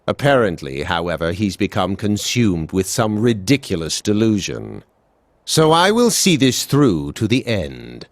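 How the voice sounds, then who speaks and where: Game casting: stern aged scientist, low voice with lively swings, blunt and resolute. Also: male voice, measured pace, dry recording. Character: stern elderly male scientist, gruff and resolute. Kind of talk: video game cutscene acting.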